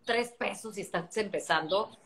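A woman's voice: medium pitch, normal speed. Mexican woman in her 30s, earnest, lots of restarts, phone video call from her living room. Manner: earnest, animated hand gestures, many restarts. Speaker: actress hosting an Instagram live. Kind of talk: casual video call chat.

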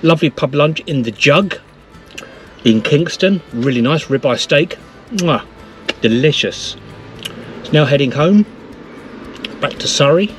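A man's voice: a little high, expressive, a little slow, long pauses, faint music behind. A man in his fifties chatting enthusiastically while filming himself on a village walk, camera mic at arm's length. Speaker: vlogger. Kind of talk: casual walking vlog. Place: village church and lanes, outdoors. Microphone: handheld camera mic at arm's length.

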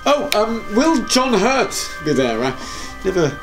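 A man's voice: sits high, big pitch swings, unhurried, music in the background, rough recording. A young man in a scripted comedy sketch, talking in a flustered, stammering, fussy way. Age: young adult. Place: small home room, indoors.

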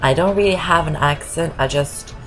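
Deep-voiced woman rambling thru a game walkthrough, game music loud behind. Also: moderate pace, low-quality recording. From Norway. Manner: rambling, keeps saying "I guess". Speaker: gameplay commentator. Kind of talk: casual game walkthrough commentary.

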